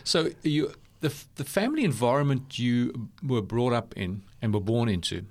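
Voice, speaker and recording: A man's voice: mid-range voice, animated, normal speed, darkish tone. A man with a mixed South African and New Zealand accent hosting an interview in a studio, chatty and laughing easily. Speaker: interview host. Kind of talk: conversational interview.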